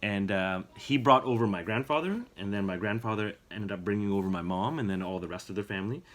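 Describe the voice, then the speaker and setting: A man, a father, casually telling family stories to his young daughter in a gentle, rambling way. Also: on the low side. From Canada. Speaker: father and channel host.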